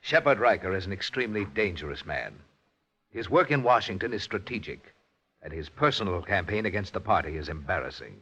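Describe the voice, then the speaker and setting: An actor playing a grave, secretive Communist Party boss in a 1950s radio drama, with a measured, clipped delivery. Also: male voice, sits low, sing-song, bright, forceful tone, slightly rough, heavily acted. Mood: grave, ominous. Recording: dull, lo-fi old radio recording.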